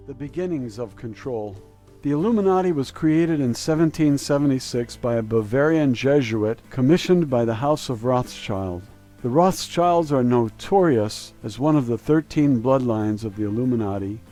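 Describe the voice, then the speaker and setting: A man reading a scripted documentary narration in a measured, declarative way. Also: medium pitch, a little mellow.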